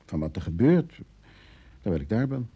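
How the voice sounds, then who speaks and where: An evasive husband in a radio play. The voice is extremely mellow and breathy-rough, yet moves a lot in pitch. He sounds closed off within a stale marriage. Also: very animated, moderate pace, full character voice. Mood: dry. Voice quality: husky, muted. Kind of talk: Dutch radio drama acting.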